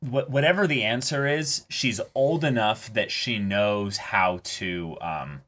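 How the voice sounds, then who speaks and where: A man co-hosting an anime reaction show, casually analysing a story in a halting, thoughtful way. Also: fairly low pitch, a bit bright.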